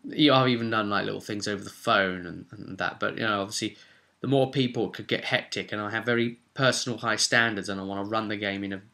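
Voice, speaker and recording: A man's voice: fairly low pitch, steady tone, fast talker, pushed and bright. A man talking informally in a casual gaming vlog, thinking aloud as a tabletop game master in a conversational way.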